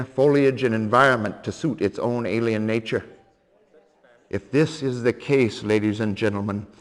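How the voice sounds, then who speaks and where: An actor playing a solemn scientist who gives a measured, grave warning speech to an audience in a video game cutscene. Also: male voice, mid-range voice, close to an everyday voice. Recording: long echoing hall reverb.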